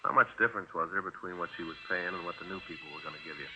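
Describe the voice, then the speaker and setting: A man playing a calm police detective, asking plain, matter-of-fact questions in 1950s radio drama. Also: deep voice, rapid-fire, over music, lightly acted. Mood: calm, businesslike. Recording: muffled 1950s radio recording.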